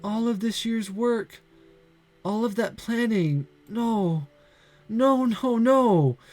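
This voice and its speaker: Man putting on a sweet young girl Santa, high falsetto, wobbly tearful voice, very up and down, soft music behind. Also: very animated, moderate pace. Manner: high breaking falsetto, sobbing and self-pitying. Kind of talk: amateur voiced story reading.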